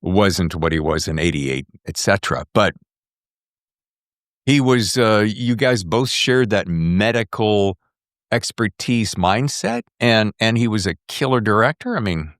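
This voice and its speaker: A man's voice: on the low side, animated, unhurried, no echo, clean studio sound. A man in his sixties hosting a podcast, asking questions in a casual, enthusiastic, dramatizing way. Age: 60s. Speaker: podcast host. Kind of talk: casual, animated interviewing.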